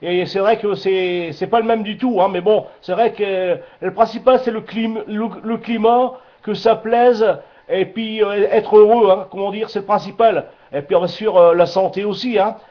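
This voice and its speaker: A man's voice: high-pitched, fairly even intonation, normal speed, few pauses. A man chatting casually as an amateur radio operator, rambling and repeating himself with frequent filler tags. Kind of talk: casual radio contact chat. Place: two-way radio contact. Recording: dull lo-fi two-way radio transmission.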